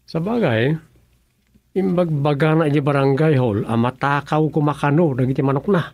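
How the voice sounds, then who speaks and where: Higher-voiced practical village councilor. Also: male voice, moderate pace, darkish tone, no room sound. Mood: matter-of-fact. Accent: Ilocano Filipino. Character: male village councilor, practical, concerned about neighborhood order. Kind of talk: Ilocano radio comedy drama acting.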